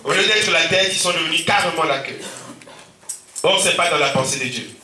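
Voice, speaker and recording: A pastor with a Central African French accent preaches with a sore, stuffy throat, repeatedly calling out amen and alleluia. His voice is bright and fairly high for a man. He is heard through a roomy church, picked up from some distance away. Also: lively intonation, fairly quick, faint music behind. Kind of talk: sermon. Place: church hall.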